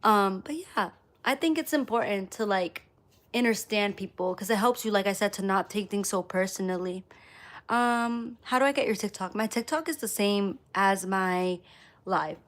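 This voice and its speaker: Female live streamer, medium-pitched, casual motivational delivery, emphatic with repeated phrases. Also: normal speed. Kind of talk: casual motivational livestream talk to viewers.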